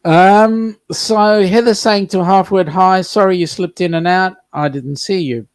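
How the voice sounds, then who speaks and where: A chatty man with a fairly high voice, co-hosting a relaxed livestream. He works through viewer comments one after another in a dry, close-miked recording. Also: unhurried, clean tone, no echo. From South Africa. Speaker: co-host reading live chat. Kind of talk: casual livestream chat.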